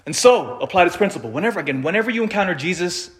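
Priest preaching, measured and emphatic man. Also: high voice, expressive, a bit bright. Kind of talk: scripture reading and conversational homily.

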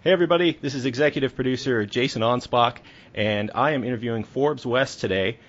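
Man, podcast interviewer, friendly upbeat questions, mid-pitched voice, lo-fi muffled audio. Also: fast. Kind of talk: casual interview. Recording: dull lo-fi remote audio.

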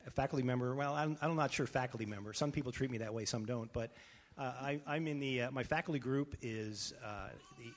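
A man introducing himself on a panel, rambling through frequent restarts. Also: medium pitch.

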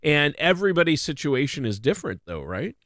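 A man's voice: on the high side, very animated, a little fast, fairly bright tone, no room sound, studio quality. A man hosting a financial radio show in a conversational style.

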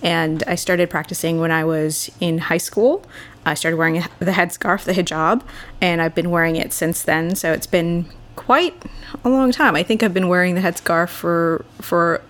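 A woman's voice: fairly low pitch, fairly bright tone. A young woman from Boston, a podcast guest, talking in a thoughtful and earnest way. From Boston, USA. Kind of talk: casual podcast conversation.

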